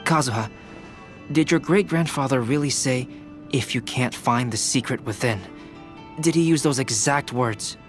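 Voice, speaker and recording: Man as fantasy game investigator, calm, analytical, deliberate delivery, soft music in background. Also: mid-range voice, natural voice. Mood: serious. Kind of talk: scripted video game voice acting.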